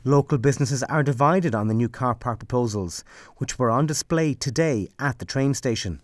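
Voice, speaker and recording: A young man, a TV news reporter reading scripted narration in a measured, formal way. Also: medium pitch, no echo.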